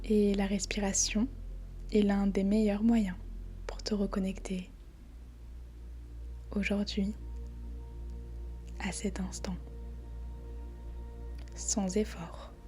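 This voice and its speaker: Super slow soft breathy woman doing guided meditation, mellow, almost whispering, faint music behind. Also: mid-range voice. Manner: calm, soothing, spaced short phrases with long pauses. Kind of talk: guided body scan meditation. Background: faint background music.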